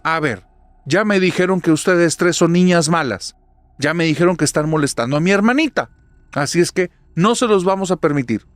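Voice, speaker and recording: A man putting on a bossy big sister, her voice pitched high and pushed loud as she lays down the rules. The delivery is firm and scolding, and the sound is close and dry. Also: no echo, close to an everyday voice. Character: stern older sister scolding haunted dolls.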